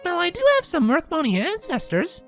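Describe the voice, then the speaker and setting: An excitable young pegasus mare gushes in a high, swooping voice. She is bubbly and gleeful, a lively storybook pony. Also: fairly quick, faint music behind. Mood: curious. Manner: gushing, gleeful.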